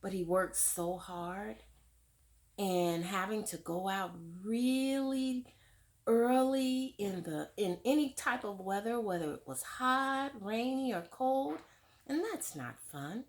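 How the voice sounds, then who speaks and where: A woman's voice: medium pitch, lively intonation, slow. A woman reading a picture book aloud to children, then talking it over with them like a teacher and asking them questions. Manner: warm teacherly, asks questions to young listeners. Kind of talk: children's read-aloud with discussion.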